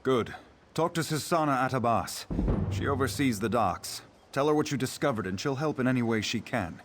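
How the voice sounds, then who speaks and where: An actor playing a stern, loyal guard captain in a fantasy game, speaking seriously and with guarded urgency. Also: male voice, mid-range voice, animated, normal speed, background noise, lightly acted. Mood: urgent, grave. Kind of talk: video game voice acting.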